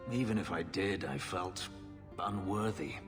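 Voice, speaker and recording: Very deep, brooding male fugitive. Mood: somber, guarded. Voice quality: low, grave voice. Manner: measured, solemn, halting confession. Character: brooding male elf fugitive, a former slave, bitter and guarded. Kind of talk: dramatic video game acting. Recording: long reverb, music behind the voice. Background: music.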